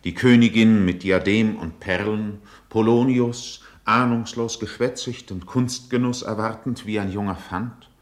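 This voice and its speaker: An actor as storytelling narrator of a radio drama, giving literary scene-setting narration. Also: male voice, fairly low pitch, fairly even intonation, a little slow, few pauses, close to an everyday voice. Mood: vivid, observing.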